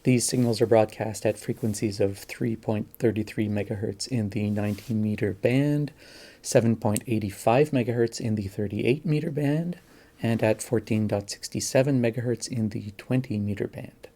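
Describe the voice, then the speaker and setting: A man narrates informally in a fairly low, gravelly voice. He explains his material plainly, with a somewhat soft, mellow tone. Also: moderate pace. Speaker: narrator of own recordings.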